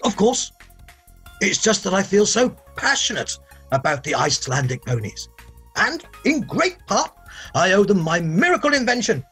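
Eccentric old inventor, rambling and gushing, lively swooping pitch, video call, faint music. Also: male voice, breathy edge, heavily acted. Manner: rambling, gushing, sing-song emphasis. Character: eccentric older male inventor, rambling, doting. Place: home room, video call.

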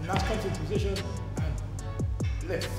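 A young man hosting a gym vlog, coaching and cheering players on with loud, hyped encouragement. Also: high-pitched, steady tone, fairly quick, recorded from a distance. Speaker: fitness coach and show host. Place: indoor gym, large echoey room. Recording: echoey gym with music.

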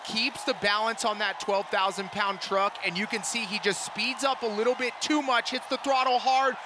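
Excitable high-voiced male color commentator. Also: normal speed, crowd noise, noisy background. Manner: excitable, explains replays. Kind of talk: arena sports commentary. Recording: commentary over loud arena music.

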